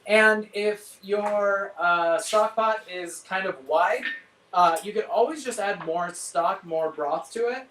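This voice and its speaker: A man's voice: sits high, slow. A man giving casual live cooking instruction as a chef, thinking aloud in an informal way. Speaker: chef leading a cook-along. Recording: roomy, distant mic, faint noise.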